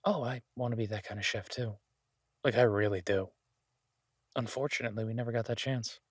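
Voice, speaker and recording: A man playing an easygoing, affectionate chef in an intimate boyfriend roleplay audio, talking in a husky, halting, teasing way to a partner. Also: medium pitch, dry recording, studio quality, lightly acted. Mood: casual, wistful. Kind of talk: intimate boyfriend roleplay audio, casual one-sided chat.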